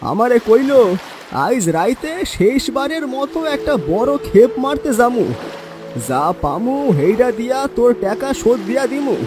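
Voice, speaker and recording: A man playing an emotional, grieving village man in an audio horror story, with a rural Bangladeshi accent and drawn-out, lamenting delivery. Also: very high voice, expressive, noisy background. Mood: sorrowful recollection. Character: grieving, emotional village man mourning his drowned friend.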